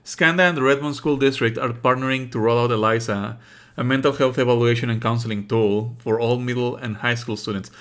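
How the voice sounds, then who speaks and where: A man giving live let's play commentary and reading game text aloud, exasperated and sarcastic, with animated outbursts and frequent swearing. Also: medium pitch, brisk. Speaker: streamer playing and commenting on a visual novel.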